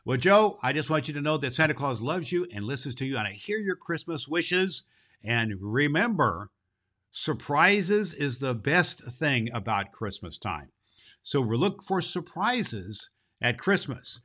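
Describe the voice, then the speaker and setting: A man's voice: medium pitch, big pitch swings, unhurried, pushed and bright, exaggerated voice. A man playing a jolly, kindly old Santa Claus, hosting a children's show in a folksy, rambling, emphatic way. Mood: cheerful, kindly. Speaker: show host.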